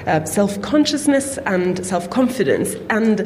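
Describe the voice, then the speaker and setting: A woman speaking as a guest on a live panel, fluent and measured. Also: medium pitch, lively intonation, darkish tone. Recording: long reverb of a hotel hall with faint noise.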